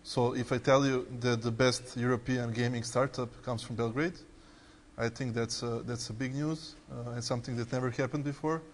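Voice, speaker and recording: A man's voice: medium pitch, fairly even intonation, moderate pace. Serbian man on a conference panel. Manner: fluent but hesitant, many "uh" fillers and restarts. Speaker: tech company CEO on a panel. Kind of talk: panel introduction. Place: conference hall. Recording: hall reverb, faint background noise.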